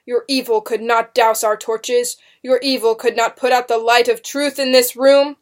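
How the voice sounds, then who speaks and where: A woman voicing a tall, commanding male witch-trial judge in a storybook, loud, sneering and accusing. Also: a little high, fairly even intonation, moderate pace, natural voice. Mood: righteous declaiming. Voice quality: forceful, loud delivery. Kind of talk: dramatic storybook reading.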